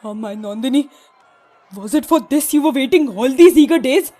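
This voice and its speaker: A woman's voice: high voice, lively intonation, normal speed, mellow. A woman playing a loyal, indignant rebel worker in a theatrical audio drama. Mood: mournful. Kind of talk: theatrical stage-play acting in an audio drama.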